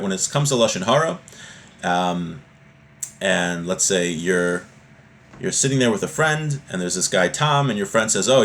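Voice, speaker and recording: A man giving an informal religious lesson in a didactic, conversational way, reading from a text and then explaining it. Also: lowish voice. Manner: didactic, conversational, checks in with "right?". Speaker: religious teacher. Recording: noisy background ambience.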